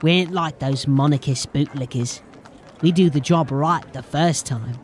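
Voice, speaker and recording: An actor playing a cocky, threatening street-gang henchman in a fantasy audio drama, with a rough, slangy, taunting way of talking. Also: fairly high pitch, unhurried, slight background noise, lightly acted.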